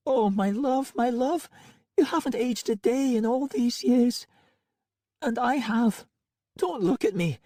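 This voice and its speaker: A woman's voice: on the high side, animated, slow pace, mellow, no room sound, studio quality, voice pushed up. A man reading an audiobook, voicing a weeping, ashamed aging woman who speaks numbly. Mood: heartbroken. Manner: numb, trembling pleading. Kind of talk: audiobook character voice.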